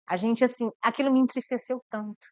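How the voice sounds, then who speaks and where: Brazilian woman missionary, earnest interview guest, clear higher voice, dry sound. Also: steady tone, a little fast, dry recording. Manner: earnest, repeats words as she thinks. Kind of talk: interview about mission work.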